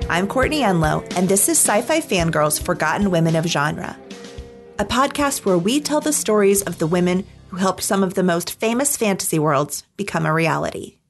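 A woman hosting a podcast, reading scripted narration in a measured, articulate storytelling manner. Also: fairly low pitch, lively intonation. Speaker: podcast host. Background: background music.

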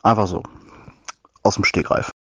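A man's voice: normal speed, fairly bright tone, no room sound. A man from northern Germany leaving a casual, playful voice message with questions and instructions. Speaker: listener sending questions by voice message.

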